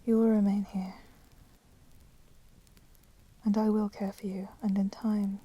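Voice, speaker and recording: A woman playing a coolly menacing, possessive female vampire in a whispered ASMR roleplay, with soft, breathy delivery and formal, deliberate phrasing. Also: mid-range voice, no echo, muffled recording, natural voice. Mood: possessive, softening. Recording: steady background noise, crackling fire sound. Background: crackling fire.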